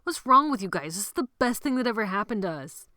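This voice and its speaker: A woman voicing a brash, bossy teenage boy who leads a gang of boys, loud and rallying in an audiobook. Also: mid-range voice, lively intonation, unhurried, dry recording, studio quality. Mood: defiant. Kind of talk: audiobook character voice.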